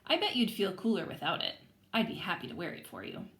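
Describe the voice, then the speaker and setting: A woman's voice: medium pitch, big pitch swings, fast talker, a bit bright. A woman voicing a sly, bossy male trickster dog from a children's storybook, coaxing and scheming.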